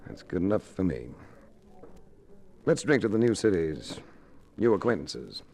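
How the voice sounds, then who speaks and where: An American actor playing a suave, tough private investigator in a dry, clipped 1950s radio detective style. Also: male voice, deep voice, very animated, a little slow, vocal fry, dark tone, full character voice. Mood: cool, persuasive. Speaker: lead actor and first-person narrator. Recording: noisy vintage radio recording.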